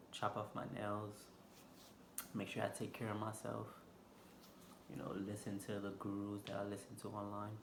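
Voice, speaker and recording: A man with a Haitian accent, vlogging unscripted from a stool indoors, talking slowly and haltingly with lots of fillers. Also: on the low side. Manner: slow, halting, many fillers. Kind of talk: unscripted casual vlog talk. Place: indoor room, seated on a stool. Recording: echoey room with background noise.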